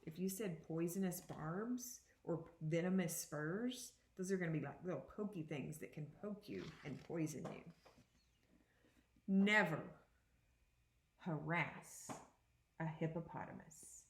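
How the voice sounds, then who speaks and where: A woman teacher giving an interactive read-aloud for young children, reading deliberately and pausing to put questions to her listeners. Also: on the low side, echoey room, a little hiss or hum. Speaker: second grade teacher.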